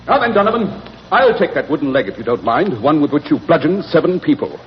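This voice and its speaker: An actor playing a clever, self-assured English gentleman detective with a British accent, explaining with smug authority in a 1940s radio drama. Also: on the high side, sing-song, fairly quick, a little hiss or hum. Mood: commanding. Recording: dull, muffled 1940s radio recording.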